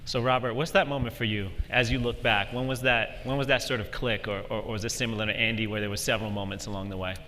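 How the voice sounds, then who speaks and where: A man's voice: fairly low pitch, steady tone, fast talker, hardly any pauses, bright, forceful tone. A man moderating a conference panel, recorded in a live event hall. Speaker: panel moderator, social media expert. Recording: echoey hall with background noise.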